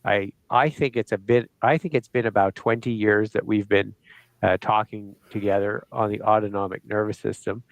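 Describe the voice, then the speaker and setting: A man's voice: on the low side, fairly even intonation, no room sound, low-quality recording. Dry-sounding man hosting a show. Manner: friendly, halting, many fillers. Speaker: host. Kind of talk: interview hosting.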